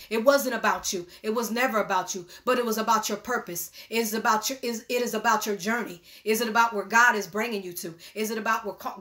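A woman's voice: on the high side, a bit bright. A middle-aged woman preaching a prophetic word to a phone camera in a small room, earnest and repetitive, often restarting her phrases. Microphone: phone camera at arm's length.

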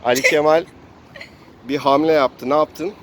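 A man's voice: a little high, steady tone, a little slow, a bit bright. A man narrating an improvised tabletop adventure as game master, in a theatrical storytelling way. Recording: noisy outdoor recording with traffic ambience.

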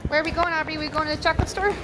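A woman's voice: high voice, steady tone, racing. A young woman chatting casually and playfully with small children, an encouraging mother filming a family vlog on a handheld phone. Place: on the move outdoors and in a busy mall. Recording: noisy handheld recording, outdoors and in public. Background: loud outdoor and public ambience.

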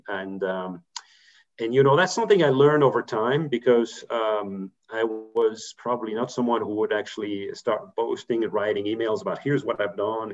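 A man in his fifties from Belgium, a corporate treasurer giving career advice on a video call, talking thoughtfully with many fillers and restarts. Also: on the low side. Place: home room, indoors. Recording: muffled video call audio.

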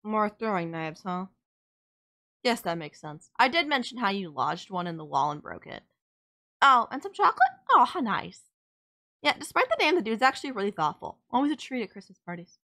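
A woman's voice: medium pitch, sing-song, brisk, pushed and bright. A woman playing a sardonic yet caring female villain in an audio roleplay, blunt, teasing and exasperated. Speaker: voice actor. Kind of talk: audio roleplay drama, intimate one-sided dialogue.

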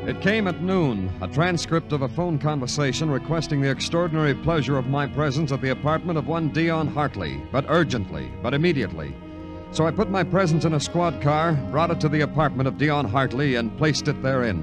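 An actor playing a terse, world-weary police detective, deadpan and brooding, in hard-boiled old radio drama style. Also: male voice, fairly high pitch, a little fast, over music, natural voice. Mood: wry, sardonic. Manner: deadpan, clipped in dialogue, brooding in narration.

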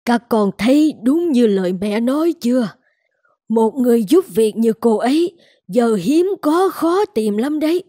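A woman's voice: fairly high pitch, lively intonation, unhurried, dark tone, no room sound, studio quality. A woman voicing a kindly, insistent elderly grandmother in a cartoon, advising and reassuring. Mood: pleased, advising. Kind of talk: cartoon dubbing.

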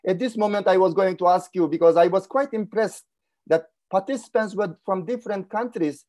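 A man's voice: sits high, fairly quick, a little mellow. A middle-aged man from Kyrgyzstan, giving a webinar talk on a video call in a formal, courteous way and often repeating words as he searches for them. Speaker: guest speaker. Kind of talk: webinar presentation. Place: indoor room.

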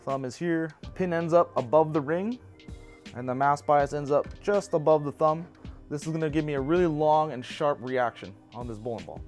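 A man in his thirties in a bowling pro shop, casually walking through a how-to with practical personal asides. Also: fairly high pitch.

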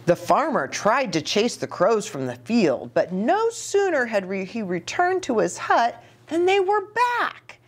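A middle-aged woman reading a picture book aloud to young children, animated, with chatty asides and questions for her listeners. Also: a little high, measured pace, hardly any pauses. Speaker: children's ministry storytime host. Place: small indoor reading nook with bookshelf and curtains.

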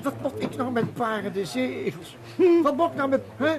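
An actor playing a grumbling, reluctant police detective in a radio drama, wry and halting. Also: male voice, very high voice, animated, crowd noise. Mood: exasperated.

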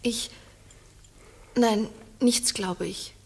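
Breathy, entranced farm girl. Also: fairly high pitch, very slow, full character voice. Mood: hushed, entranced. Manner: hushed, hesitant. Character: quiet, entranced farmer's daughter. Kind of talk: 1960s German-language radio play acting.

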